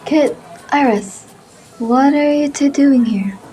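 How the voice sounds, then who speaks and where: A woman's voice: sits high, slow, mellow. A woman acting an indignant, easily hurt young fairy in an amateur roleplay drama, with an emphatic, dramatic delivery. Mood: concerned.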